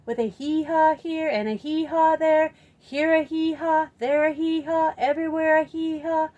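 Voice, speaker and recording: Storytime presenter: woman, high voice, unhurried, playful and expressive for young kids. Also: highs cut off. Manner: playful, expressive, drawn-out emphasis. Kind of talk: children's storytime singing and storytelling.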